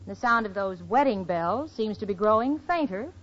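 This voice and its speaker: An actress playing a sensible, wry young single woman, confiding and animated, in a 1940s radio sitcom. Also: medium pitch, normal speed, natural voice. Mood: wry, smitten. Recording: dull old radio recording, studio audience.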